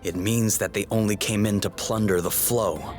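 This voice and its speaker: A man's voice: fairly low pitch, steady tone, normal speed, over music, close to an everyday voice. A young man playing a blunt, skeptical, principled rebel in a dramatic video game. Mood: skeptical, indignant. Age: young adult.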